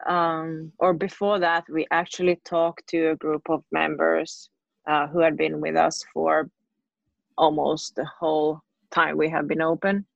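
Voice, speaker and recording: A woman who co-owns a gym, answering interview questions steadily, with many pauses and fillers. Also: low-pitched, flat delivery, gravelly, no room sound. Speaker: gym owner and coach. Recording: dull, dry lo-fi sound.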